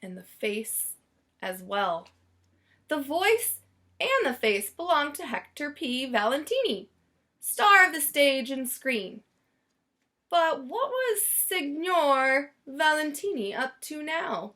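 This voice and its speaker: Female storybook narrator, high-pitched and very melodic, expressive, leans on key words. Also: slow. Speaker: storybook reader. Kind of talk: expressive children's read-aloud narration.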